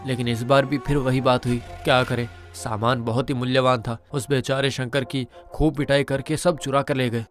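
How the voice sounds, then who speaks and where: Guy playing older merchant dad, kind but fretting, mid pitch voice, cartoon story, light music. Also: level tone, moderate pace, hardly any pauses, lightly acted. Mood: worried. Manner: concerned, fatherly. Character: worried, kindly older master, a father. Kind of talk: Hindi moral-story cartoon dialogue.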